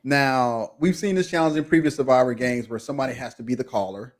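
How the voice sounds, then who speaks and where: A man hosting a relaxed podcast recap, keeping the talk moving with an animated, easy laugh. His voice sits at an ordinary middle pitch. He sounds chatty and in charge. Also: quick pace. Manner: animated, laughs easily, leads the conversation. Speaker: podcast host. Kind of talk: casual recap chat.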